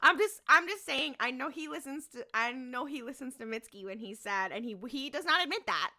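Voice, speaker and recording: A woman's voice: high-pitched, lively intonation, a little fast, bright, forceful tone. A young adult woman chatting casually and comedically as a podcast panelist.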